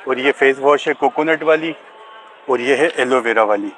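A man's voice: medium pitch, moderate pace, fairly bright tone, rough recording. A man with a Pakistani accent presenting goods and prices like a salesman at a market stall. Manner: salesmanlike, listing items. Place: outdoor covered bazaar stall. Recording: busy outdoor market crowd noise.

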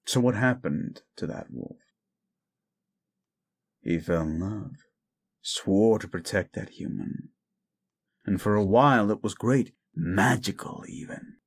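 A man playing a bitter, grieving, gruff werewolf in an audio drama, cynical and scolding. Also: on the low side, heavily acted. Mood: grim, wistful. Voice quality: gruff, gravelly. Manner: cynical, scolding, deliberate storytelling. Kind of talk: audio roleplay drama acting.

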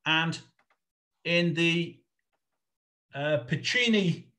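A middle-aged man giving an informal illustrated history lecture over a video call, explaining in a halting, hesitant way. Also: on the high side, bright, forceful tone. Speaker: lecturer, researcher presenting a talk.

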